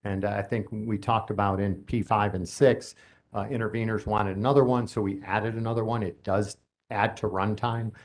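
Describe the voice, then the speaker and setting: A man testifying as an expert witness at a regulatory hearing, explaining technical planning points in a steady, explanatory way. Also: fairly low pitch, moderate pace. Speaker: utility company expert witness.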